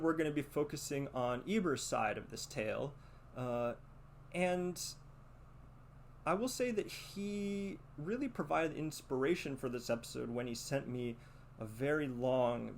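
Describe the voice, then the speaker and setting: A man hosting a podcast monologue, speaking in a halting, deliberate and reflective way. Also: mid-range voice, gravelly. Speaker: podcast host. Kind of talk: podcast monologue with read-out letter and commentary. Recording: noticeable background noise.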